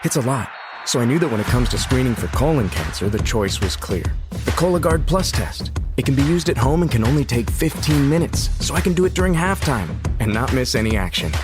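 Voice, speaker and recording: An American man reads a scripted commercial in a mid-range voice. Music plays clearly underneath him. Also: medium pitch, expressive, moderate pace. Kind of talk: scripted advertisement read.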